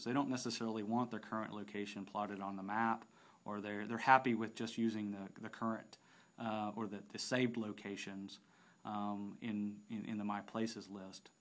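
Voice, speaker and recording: Man, lowish voice, unscripted tutorial narration, explanatory, halting phrasing. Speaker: software demonstrator.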